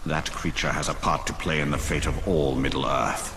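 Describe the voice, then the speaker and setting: Extremely deep solemn spirit. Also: male voice, fairly even intonation, normal speed. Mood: foreboding. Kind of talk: dramatic game acting. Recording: long reverb, clear background noise.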